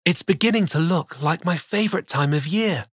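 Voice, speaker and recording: A man narrating a children's story as host, reading rhymes in a theatrical, deliberate way. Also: a little high, lively intonation, slightly rough, dry recording, studio quality. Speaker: storyteller host of a children's read-aloud show. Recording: soft music bed behind the voice. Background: soft music.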